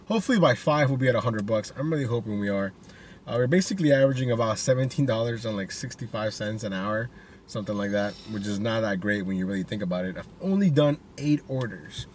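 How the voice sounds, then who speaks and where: A man's voice: medium pitch, distant mic. Chatty young man vlogging from his car. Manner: chatty, relaxed, frequent "you know" asides. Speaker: delivery driver vlogger. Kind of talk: casual vlog talk to camera. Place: inside a car, outdoors by street traffic. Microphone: camera on dashboard. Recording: car interior with road noise, mic set back.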